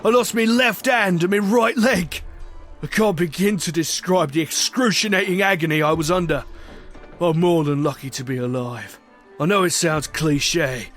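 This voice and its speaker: A man's voice: sits high, fairly bright tone. A man playing a boisterous, commanding pirate captain who tells his sea tale in a dramatic storytelling style, with music behind him. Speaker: narrator and captain.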